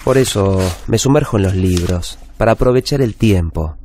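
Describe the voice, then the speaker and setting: A man's voice: lowish voice, lively intonation, moderate pace, a little mellow, slight background noise, natural voice. An actor playing a wistful forty-year-old bookshop owner with a Rioplatense Argentine accent, narrating his routine life in a reflective radio drama. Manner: reflective, storytelling, self-correcting. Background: music bed.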